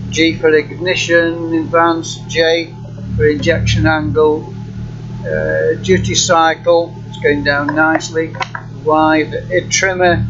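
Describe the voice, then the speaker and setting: A man with a slightly high voice talks through a software demonstration informally and without a script. He is matter-of-fact and a little halting. The recording is muffled, with a loud engine hum behind him. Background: running car engine hum.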